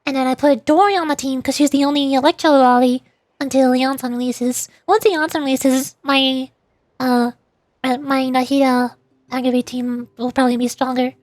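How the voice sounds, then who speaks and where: A woman's voice: high voice, fairly even intonation, normal speed, a little mellow, no room sound. A woman streaming a video game and chatting casually through gameplay commentary. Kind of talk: casual gaming livestream commentary.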